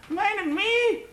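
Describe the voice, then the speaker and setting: An actor playing a drunken, boastful Irish lodger who brags, laughs and shouts in an Irish accent. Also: male voice, fairly high pitch, gravelly, a little hiss or hum, exaggerated voice. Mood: drunken bluster.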